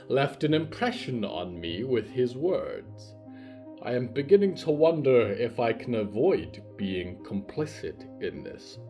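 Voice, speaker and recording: Casting: male actor as solemn otherworldly watcher of sleepers, theatrical fiction-podcast narration, unhurried and mellow. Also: mid-range voice. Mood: brooding, uncertain. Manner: solemn, poetic, deliberate dramatic delivery. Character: brooding, all-seeing otherworldly dream narrator watching sleepers. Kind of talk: theatrical scripted horror-fantasy narration.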